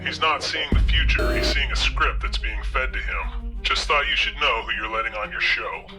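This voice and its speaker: A man playing a smug, sardonic mystery caller who talks down to people with quiet menace in a scripted thriller. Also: medium pitch, lively intonation, moderate pace, soft background music. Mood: coolly menacing. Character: smug, menacing male self-styled fact checker. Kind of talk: scripted audio thriller acting.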